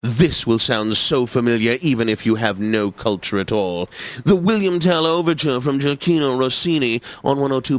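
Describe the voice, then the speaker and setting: Pompous classical radio DJ, close and dry. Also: male voice, mid-range voice, no echo. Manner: pompous, flamboyant, precise announcing. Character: pompous, whimsical male classical music radio host. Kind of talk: theatrical radio announcing.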